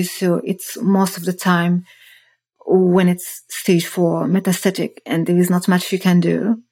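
A woman's voice: fairly low pitch, a little mellow. A woman in her thirties from Morocco, telling her story as a podcast guest in an earnest, reflective way. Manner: earnest, reflective, frequent restarts. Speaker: guest, startup founder.

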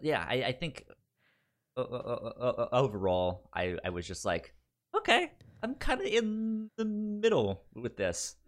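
A man co-hosting a podcast, chatting casually about film in a halting, loosely phrased way. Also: medium pitch, sing-song. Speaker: podcast co-host.

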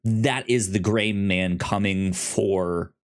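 A man co-hosting in a casual chat, speaking haltingly with stammered, repeated word starts. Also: on the low side. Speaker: podcast co-host discussing films.

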